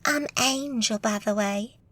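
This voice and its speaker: A woman's voice: fairly high pitch, measured pace, fairly bright tone. A woman putting on a coy Southern witch, Angel. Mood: coy flirtation. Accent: put-on Southern US drawl. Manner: coy, sugary, fake-sweet.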